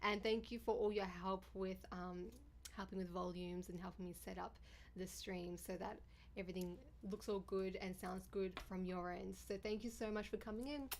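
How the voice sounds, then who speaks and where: A woman with a deep, raspy voice and a gravelly edge talks casually on a livestream. She keeps up a chatty, bubbly flow with viewers and thanks them often. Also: fairly even intonation, normal speed, a little hiss or hum. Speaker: livestreamer and YouTuber.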